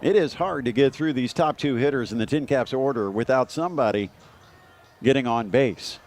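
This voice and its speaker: A man's voice: mid-range voice, normal speed, darkish tone, soft background music. A man giving color commentary on a live baseball broadcast, analyzing the play.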